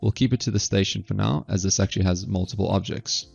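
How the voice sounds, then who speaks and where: Guy doing a software walkthrough, croaky fry in his voice, dull muffled recording with music behind. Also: fairly low pitch, steady tone, quick pace. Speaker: software tutorial presenter. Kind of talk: instructional screencast narration.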